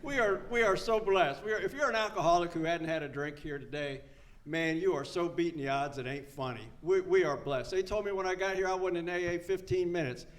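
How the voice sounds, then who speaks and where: A man's voice: sits high, brisk. A man in his seventies telling his own story to a recovery meeting, folksy and earnest, repeating his key lines for emphasis. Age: 70s. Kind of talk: personal story told to a live meeting.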